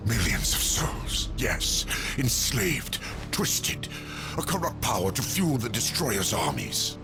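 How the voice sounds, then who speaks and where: An actor voicing a grave, weary, ancient angel of death in a fantasy video game, with a portentous delivery. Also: male voice, fairly low pitch, sing-song, slow, over music, exaggerated voice. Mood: urgent, grave. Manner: solemn, portentous, pleading.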